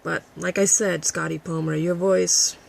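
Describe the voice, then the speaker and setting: Casting: woman, raspy husky voice with gravelly fry, combative profane vlog rant. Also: on the low side, steady tone, measured pace, a little mellow, slight background noise. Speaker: vlogger. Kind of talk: addressing a critic to camera.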